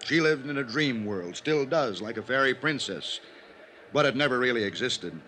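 Anxious older business manager, noisy recording. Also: male voice, mid-range voice, expressive, moderate pace, sharp tone. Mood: earnest, troubled. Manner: earnest, urgent, pleading. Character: anxious, insistent older male business manager, protective and evasive. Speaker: actor playing a business manager in a radio mystery serial. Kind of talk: old-time radio drama acting. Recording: noisy old radio recording.

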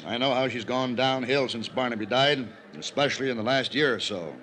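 A man playing an older business manager in a vintage radio mystery. His middle-pitched voice is earnest and pleading, protective yet evasive. The old recording carries faint noise. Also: brisk, a bit bright, natural voice, low-quality recording. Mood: urgent, insistent. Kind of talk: old-time radio drama acting.

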